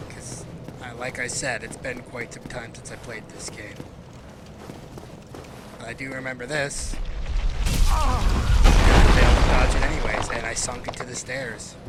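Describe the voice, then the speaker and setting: A man's voice: medium pitch, very noisy. A man giving casual, unscripted let's play commentary in an offhand, dryly sarcastic way. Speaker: gameplay commentator. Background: loud game music and sound.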